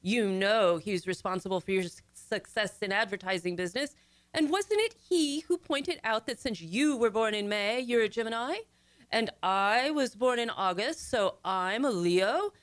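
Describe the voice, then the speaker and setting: A woman's voice: mid-range voice, expressive, normal speed, no room sound, close to an everyday voice. A woman acting a bossy, gushing middle-aged society wife in an old-time radio comedy, imperious and condescending.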